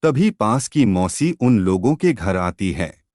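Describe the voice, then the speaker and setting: Storyteller with lively pitch, dry studio sound. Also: slow, dry recording. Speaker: narrator. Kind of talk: scripted Hindi moral-story narration.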